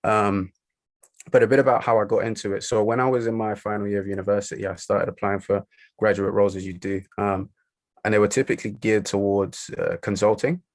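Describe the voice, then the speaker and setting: Gravelly flat-voiced young man. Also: lowish voice, fairly quick, dry recording. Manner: hesitant, lots of ums. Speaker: guest. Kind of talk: podcast interview.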